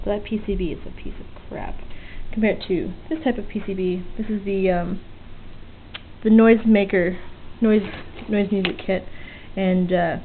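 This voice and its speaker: Woman doing an offhand hobby vlog at home, kinda sweary and self-mocking, tinny phone-like sound, bit of hiss. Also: medium pitch. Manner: informal, self-deprecating, mildly sweary. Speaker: hobbyist vlogger showing an electronics project. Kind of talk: casual unscripted show-and-tell. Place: small home work area by an open window. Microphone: handheld camera's built-in mic. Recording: tinny, band-limited camera audio with hiss. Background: faint outdoor weather ambience.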